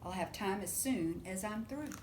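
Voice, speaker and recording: A woman voicing a busy, kindly parent gently putting off a child in a picture book read-aloud. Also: medium pitch, normal speed, close to an everyday voice. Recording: roomy echo with background noise.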